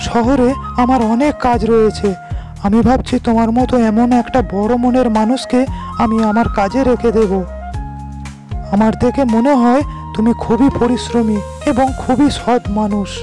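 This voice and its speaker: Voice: medium pitch, fairly even intonation, normal speed, lightly acted. An actor voicing a kindly, assured rich businessman in a cartoon moral story, speaking courteously. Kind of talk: Bengali animated moral story dialogue. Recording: loud music under the voice.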